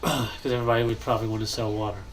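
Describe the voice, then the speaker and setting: A young man with a stuffy, nasal voice, chatting casually and thinking aloud while he builds in a game, pausing often to cough or clear his throat. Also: lowish voice, fairly even intonation, a little hiss or hum, poor audio. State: congested, nasal. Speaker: gaming channel host.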